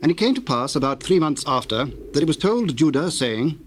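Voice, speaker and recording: A man's voice: fairly high pitch, very animated, a little fast. A man narrating a dramatized scripture reading in a solemn, storytelling style. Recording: hissy old cassette tape, birdsong behind.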